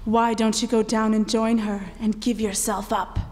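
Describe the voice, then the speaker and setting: A woman playing a sinister, coldly taunting invisible spirit in old-time radio horror, with breathy near-whispers, in a hollow, hissy hall. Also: a little high, level tone, moderate pace, a little mellow, natural voice. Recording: hollow hall recording with hiss.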